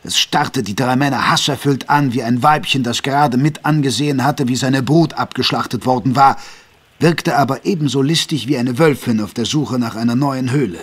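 A man's voice: medium pitch, normal speed, slightly rough. A man delivering scripted, dramatic narration for an audio drama. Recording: music bed under narration.

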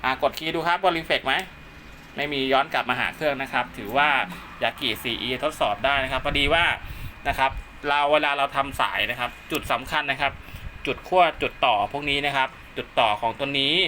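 Male hobbyist vlogger, polite and steady, sharp bright tone, faint room noise. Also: mid-range voice, brisk. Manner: steady, polite, ends nearly every phrase with a polite particle. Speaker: amateur radio hobbyist vlogger. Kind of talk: casual hands-on demo narration. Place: room at home by a window.

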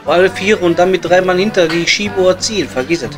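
A gruff working-class miner from a comedy audio play, acted by a man, pitched on the high side. He has a Ruhr accent and teases in blunt, colloquial pub banter, with clear music behind him. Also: fast talker, natural voice. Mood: dry banter. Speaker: comedy performer.

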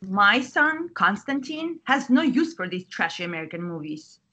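A woman's voice: mid-range voice, big pitch swings, moderate pace, husky, heavily acted. A woman playing a domineering, disapproving mother who nags her son, in a screenplay read over a video call. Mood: scolding. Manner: sharp, nagging. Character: Hanish, scolding immigrant mother, disapproving and domineering. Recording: dull lo-fi video call audio.

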